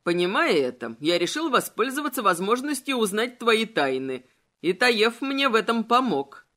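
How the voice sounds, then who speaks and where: A woman voicing a cold, manipulative male immortal villain in an audiobook, insinuating and mocking in an unhurried way. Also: a little high, very animated, a bit bright. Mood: cool, calculating.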